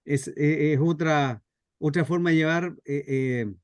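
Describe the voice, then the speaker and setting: A man's voice: fairly high pitch, unhurried, smooth, dry recording. A man with a Chilean accent explaining things informally, as the teacher of a workshop. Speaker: scriptwriter leading a radio drama workshop.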